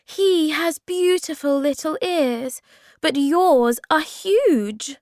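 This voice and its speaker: A woman's voice: high-pitched, slow, darkish tone, dry recording, studio quality, voice pushed up. A woman playing an earnest, loyal young female creature from a storybook.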